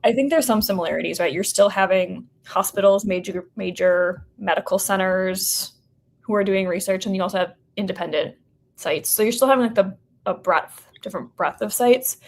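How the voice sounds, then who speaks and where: An American woman talks casually as a podcast guest. Her voice sits in a middle register with a noticeable creak. Her phrasing is informal and loose, hedged with "like" and "sort of". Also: medium pitch, a little hiss or hum. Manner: informal, hedging, frequent "like" and "sort of". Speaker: podcast guest, company representative. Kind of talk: casual interview conversation.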